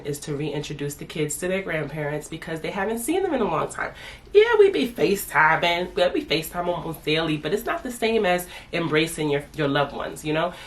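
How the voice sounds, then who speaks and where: A woman in her thirties chatting casually as a family vlogger, congested from a sinus infection and calling herself "your girl". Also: low-pitched. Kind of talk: casual chat to camera.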